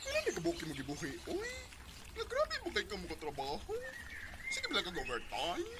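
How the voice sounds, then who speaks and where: An actress playing a blunt, skeptical friend with an exclamatory, teasing manner in a Cebuano Filipino radio drama. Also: female voice, lowish voice, wildly swooping pitch, a little fast, very noisy. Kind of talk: Bisaya radio drama acting.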